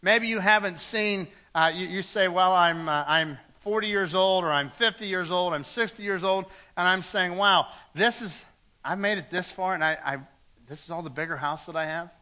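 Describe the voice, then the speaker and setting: A man's voice: high-pitched, normal speed, pushed and bright. A man preaching a sermon in a church, earnest and repeating words as he goes, reading scripture aloud. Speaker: pastor.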